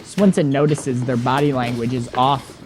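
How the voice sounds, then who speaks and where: A man's voice: mid-range voice, moderate pace, a little mellow, soft background music. A man reading scripted dramatic narration as the narrator of an audio drama.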